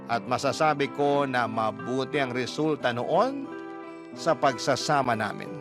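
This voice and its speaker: A man hosting a radio-style love story show, reading a listener's letter in a steady, measured way. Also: medium pitch. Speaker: letter reader and host. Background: clear background music.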